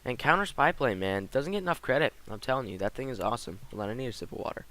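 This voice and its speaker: A teenage boy giving casual commentary over gameplay, slightly hoarse from a cold, rambling with lots of fillers. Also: mid-range voice, lively intonation, very fast, pushed and bright. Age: teens. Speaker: gaming commentator.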